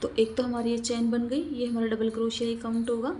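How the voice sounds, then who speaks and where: Higher-voiced woman giving step-by-step instructions. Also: little pitch movement, quick pace, mellow, a little hiss or hum. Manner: repetitive, instructional, counting aloud. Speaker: craft tutorial instructor. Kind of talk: step-by-step crochet tutorial narration.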